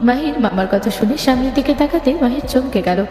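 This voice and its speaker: A woman's voice: high voice, expressive, brisk, warm tone. A woman reading scripted story narration between lines of dialogue. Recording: echoey reverberant hall, music underneath.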